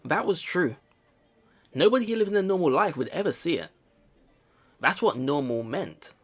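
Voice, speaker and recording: Male let's player, voice a little high, casual and rambling, reads narration then muses aloud. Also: animated, normal speed, faint music behind. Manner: rambling, repeats phrases, thinks aloud. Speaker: let's play host. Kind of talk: casual game commentary mixed with reading visual novel narration aloud.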